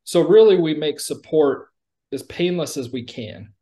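A middle-aged American man speaks on a video call as an executive being interviewed. He explains his product in a conversational pitch, step by step, often tagging points with a checking "right?". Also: animated, unhurried, warm tone. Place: indoor room, on a video call.

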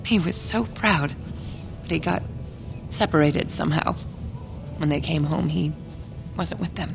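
A woman voicing a grieving middle-aged dwarf widow in a video game, speaking in a mournful, solemn way with a low, gravelly voice. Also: measured pace. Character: grieving older dwarf widow praying for lost son. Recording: game audio with music underneath.

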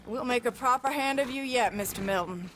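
A woman acts as a forward rancher's wife in a western video game. Her voice sits a little high and she talks briskly and bossily, with faint noise behind her. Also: a bit bright, lightly acted. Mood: inviting.

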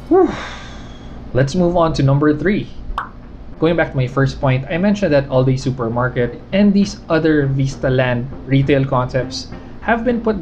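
A Filipino man in his thirties talks through a stock review in a candid, conversational way, recorded on a camera a short distance away in his living room. His voice sits in a middle pitch, and background music plays clearly beneath him. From Philippines. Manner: candid, conversational, gestures while explaining. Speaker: finance vlogger.

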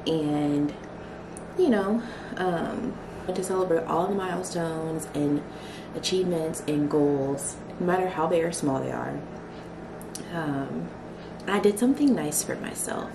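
A young woman talking casually to her camera at home, soft and reflective, a little emotional. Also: deep voice, unhurried, creaky, recorded from a distance, noisy background. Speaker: vlogger. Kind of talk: casual vlog talk. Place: small home kitchen and living room. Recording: roomy, from the mic, music behind.

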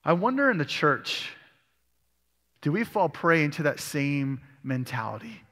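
A man's voice: a little high, very animated, unhurried, sharp tone. A male pastor preaching a sermon, reading scripture aloud and asking his congregation questions. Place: church sanctuary. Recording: long church hall reverb.

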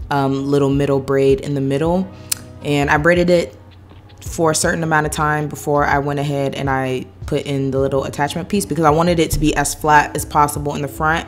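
Stuffy-voiced young woman vlogging casually. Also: sits low. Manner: chatty, laughing, addresses viewers directly. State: stuffy, recovering from sore throat. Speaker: beauty vlogger. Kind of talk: casual hair tutorial chat. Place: apartment living room.

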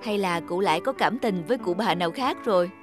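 A woman's voice: lowish voice, quick pace, natural voice. A woman playing a polite, caring young wife in a cartoon drama, speaking solicitously over music. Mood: concerned.

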